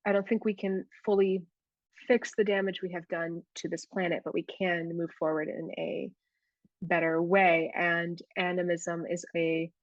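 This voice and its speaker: A woman's voice: lowish voice, flat delivery, measured pace, no room sound, highs cut off. A woman, a guest herbalist, talking in a casual podcast conversation, thoughtful and often restarting as she searches for words.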